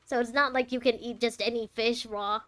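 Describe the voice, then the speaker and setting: A woman's voice: a little high, steady tone, fairly quick, fairly bright tone, no room sound. Playful woman streaming a game. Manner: playful, teasing. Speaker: streamer playing a game blind. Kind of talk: casual playthrough commentary, reading game text aloud. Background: game music.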